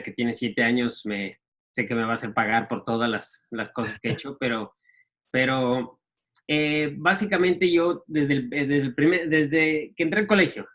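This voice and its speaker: A man, telling stories of his youth in a casual, halting way full of restarts and fillers. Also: medium pitch, a bit bright, highs cut off. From Ecuador. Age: 40s. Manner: halting, many restarts and fillers, laughs at himself. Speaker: guest entrepreneur. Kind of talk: casual storytelling.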